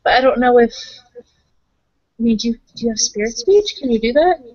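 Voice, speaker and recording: A woman's voice: on the high side, animated, normal speed, darkish tone, breathy edge, no room sound. A woman casually improvising in character at a tabletop roleplaying game, tentatively thinking aloud as she goes. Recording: dry, dull lo-fi audio.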